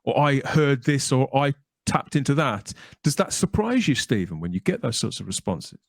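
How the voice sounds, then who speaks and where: A man's voice: medium pitch, sing-song, normal speed, gravelly, dry recording, clean studio sound. A man hosting a podcast, interviewing and presenting in a conversational way. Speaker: podcast host.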